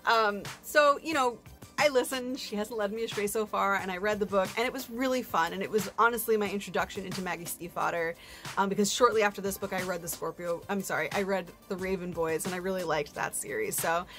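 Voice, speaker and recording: A woman's voice: medium pitch, brisk, a bit bright, faint music behind. A woman hosting a book review in a casual, chatty way, with informal, self-deprecating, slangy asides.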